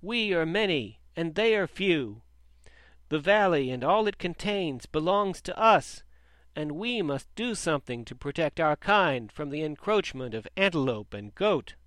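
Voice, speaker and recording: A man voicing a frightened, angry crowd of sheep, loud and wailing. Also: fairly high pitch, lively intonation, measured pace, dry recording, voice pushed up. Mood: rousing.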